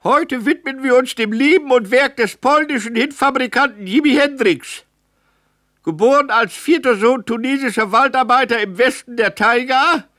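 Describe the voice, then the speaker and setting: An actor playing a solemn, formal radio presenter, delivering mock documentary narration deadpan. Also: male voice, very high-pitched, no echo, clean studio sound. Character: solemn male radio music presenter reciting absurd biography.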